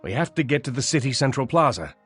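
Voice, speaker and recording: An actor playing an urgent human military commander in a video game cutscene, with a clipped, commanding delivery. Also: male voice, mid-range voice, big pitch swings, fast, a bit bright, slightly rough, soft background music. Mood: grim, urgent.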